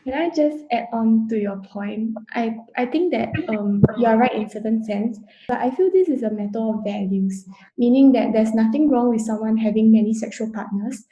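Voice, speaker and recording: Measured, mellow Singaporean woman. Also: a little high, reverberant, highs cut off. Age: 20s. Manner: measured, reasoned, a little formal. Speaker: panel guest. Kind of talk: casual panel discussion.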